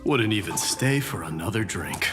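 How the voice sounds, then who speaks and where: A man's voice: lowish voice, big pitch swings, normal speed. A man playing an easygoing, dryly amused warrior in a video game, with a laid-back manner. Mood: wry. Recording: music behind the voice.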